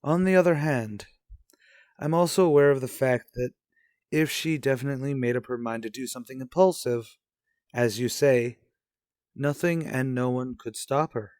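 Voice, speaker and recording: An actor playing a stern, guarded husband in a radio soap drama, speaking in a formal, measured, skeptical way. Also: male voice, mid-range voice, a little mellow, dry recording, studio quality, lightly acted. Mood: coolly resigned. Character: stern, guarded husband and father in troubled marriage. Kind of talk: revived vintage radio soap drama acting.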